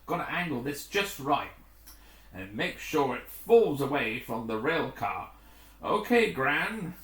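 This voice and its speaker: Man acting a brisk, animated rescue pilot, echoey room. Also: medium pitch, far from the mic. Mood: focused, commanding. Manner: urgent, clipped instructions. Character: brisk, decisive male rescue team pilot in action. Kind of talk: acted adventure story dialogue. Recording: echoey, roomy home recording.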